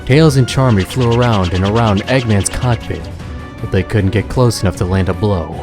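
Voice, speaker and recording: A man's voice: fairly low pitch, normal speed, music in the background. A man giving scripted, dramatic narration of an adventure story as the narrator.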